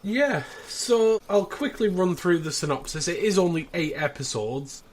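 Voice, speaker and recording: A man's voice: high voice, animated, moderate pace. A man co-hosting a podcast, chatting casually and running through summaries with wry, dry asides. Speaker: podcast co-host presenting the episode. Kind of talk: casual podcast chat with synopsis rundown.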